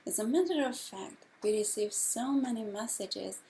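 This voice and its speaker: A middle-aged woman from Hungary with a Hungarian accent, a breathwork instructor telling her story in a gentle, measured way. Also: fairly high pitch, expressive, slight background noise, low-quality recording. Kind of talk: slow guided talk.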